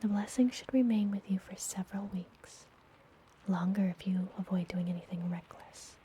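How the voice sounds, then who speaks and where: A woman playing a friendly, mysterious, teasing witch healer in an ASMR fantasy roleplay, speaking gently and soothingly in a soft, breathy whisper. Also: mid-range voice. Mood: caring, gentle. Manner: slow, gentle, soothing, deliberate.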